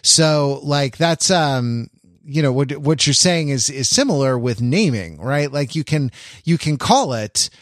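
Halting, thoughtful man, close dry sound. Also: medium pitch, lively intonation, no room sound. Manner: halting, searching for precise words. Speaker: podcast co-host. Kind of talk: casual intellectual discussion.

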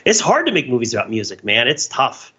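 A man's voice: mid-range voice, huge pitch swings, a little fast, bright, forceful tone, slightly rough, highs cut off. A man speaking as a podcast panelist, musing tentatively and conversationally as he thinks his points through. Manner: tentative, musing, chuckles through some phrases. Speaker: podcast panelist discussing film. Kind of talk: casual chat, musing commentary.

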